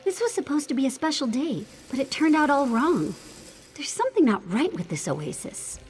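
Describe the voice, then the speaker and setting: Woman as an earnest, high-voiced young apprentice. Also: very animated, normal speed, faint music behind. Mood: uneasy, suspicious. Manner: earnest, questioning. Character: earnest, suspicious young female apprentice, loyal to friends. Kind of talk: video game character dialogue.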